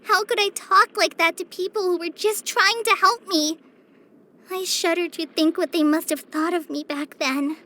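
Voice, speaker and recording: Very high, shy teenage girl. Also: fairly even intonation, normal speed, bright, forceful tone. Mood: flustered, ashamed. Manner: hesitant, stammering, self-conscious. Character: shy, apologetic, earnest teenage girl ranger with a troubled past. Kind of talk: video game character voice-over.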